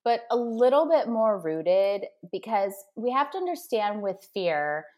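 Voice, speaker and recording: A woman's voice: mid-range voice, a little slow. Upbeat woman giving motivational advice. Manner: energetic, motivational, breaks advice into steps. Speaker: guest business coach. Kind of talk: motivational podcast interview.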